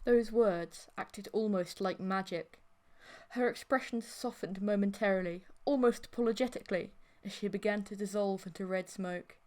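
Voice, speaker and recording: A woman's voice: mid-range voice, moderate pace, darkish tone. A woman reading a first-person story as an audiobook narrator, with dramatic scripted delivery.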